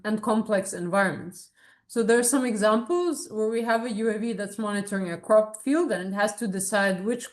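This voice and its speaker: A woman in her thirties, born in Serbia and raised in Abu Dhabi, gives a research presentation to colleagues in an informal, explanatory way with frequent hesitations. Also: medium pitch. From born in Serbia, grew up in Abu Dhabi. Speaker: academic researcher giving a talk.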